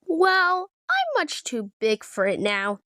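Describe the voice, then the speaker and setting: Lady doing a worried little boy frog for a kids book, high voice, earnest, really up and down. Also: huge pitch swings, slow, sharp tone, no room sound, studio quality, full character voice. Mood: anxious. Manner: fretful, earnest. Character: young male baby frog, anxious and clingy.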